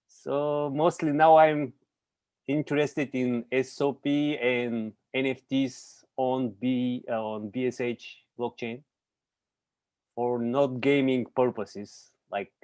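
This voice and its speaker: Slow-talking man from Bulgaria. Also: medium pitch, fairly even intonation, darkish tone, smooth, dry recording. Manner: halting non-native phrasing with laughs. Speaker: meeting participant, programmer. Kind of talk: casual self-introduction on a call.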